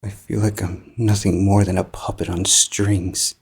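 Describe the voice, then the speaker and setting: A man narrating fan fiction in the first person as an anguished, guilt-ridden living book, measured, halting and melodramatic. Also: low-pitched, level tone, darkish tone, husky, exaggerated voice. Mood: anguished, hollow.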